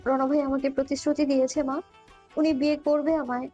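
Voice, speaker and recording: A woman's voice: high-pitched, little pitch movement, a little fast, mellow, lightly acted. A woman playing a trusting, lovestruck young woman in an audio love story. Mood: earnest, resolute. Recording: dull lo-fi voice, music behind.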